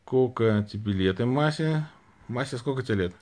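A man's voice: mid-range voice, animated, normal speed, a little mellow. Male livestream host, thirties, mid-range voice, informal question-and-answer chat recorded on a phone. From Saint Petersburg, Russia. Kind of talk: casual chat, reading out and answering viewers' questions. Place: small room indoors, lying back on pillows. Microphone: phone at arm's length.

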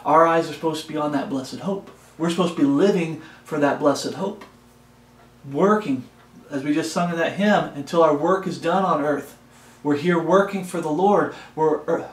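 Middle-aged man for an informal Bible teaching role, high-set voice, earnest and repetitive, captured in a roomy-sounding office. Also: normal speed. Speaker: preacher, Bible study host. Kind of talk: informal Bible study sermon. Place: small home office, indoors. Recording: roomy, echoey indoor sound.

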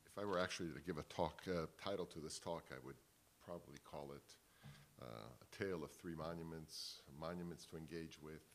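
A man, giving a measured academic panel talk at a table microphone in a wood-panelled hall, often restarting words. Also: rumbling low, vocal fry, slight background noise. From Italy. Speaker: panel speaker. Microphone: table microphone on stand.

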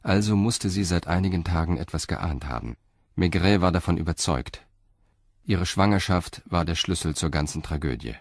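A man narrating a scripted crime story as a radio drama narrator, in a sober, measured manner. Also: sits low, expressive, no echo, clean studio sound.

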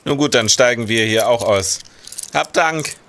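An actor playing a determined, brisk security policeman in a period audio drama. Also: mid-range voice, fairly bright tone, a little hiss or hum. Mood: courteous.

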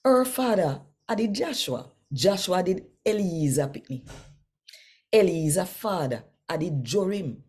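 An older woman with a Jamaican accent reading scripture in Patois in a measured, deliberate way. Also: fairly low pitch, lively intonation, a little mellow, slightly rough. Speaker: Bible reader and presenter. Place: small home room, indoors.

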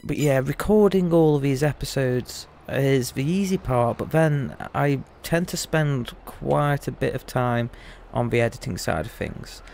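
A young man chats his way through a game, warm and rambling, often apologetic. His voice is gravelly and still rusty from recent illness, at an ordinary middle pitch, with faint game sounds behind him. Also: unhurried, a little hiss or hum. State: recovering from losing his voice. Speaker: gaming YouTuber. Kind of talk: casual let's-play commentary.

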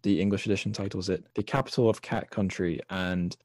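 Low-pitched man discussing books, dry sound. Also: steady tone, a little fast, darkish tone, dry recording. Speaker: podcast host. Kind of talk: casual literary discussion.

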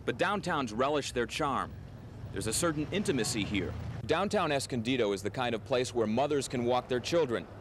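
A man with a medium-pitched voice narrates a TV news feature. The 1980s field recording has clear street and vehicle noise behind him. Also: expressive, normal speed. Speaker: TV news reporter. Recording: noisy 1980s TV field tape.

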